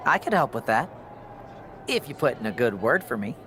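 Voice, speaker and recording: A whiny, sarcastic captive in a video game cutscene. His sharp voice jumps around in pitch with self-pity, over faint background noise. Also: very animated, moderate pace. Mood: sly, bargaining.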